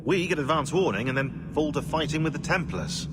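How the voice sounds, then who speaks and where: A man's voice: a little high, expressive, brisk, very noisy, close to an everyday voice. A man playing a dry, sardonic British researcher in a video game, wordy and precise in his phrasing. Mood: resigned. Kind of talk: video game cutscene acting.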